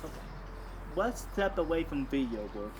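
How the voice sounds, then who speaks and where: A man's voice: on the high side, very animated, moderate pace, noisy background. A young man interviewing someone in a casual, conversational way.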